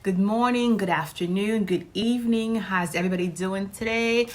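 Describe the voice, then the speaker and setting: A woman's voice: medium pitch, normal speed. A woman whose native language is Haitian Creole, chatting casually and cheerfully to her viewers as the host of a vlog. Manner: upbeat, chatty, addresses viewers directly.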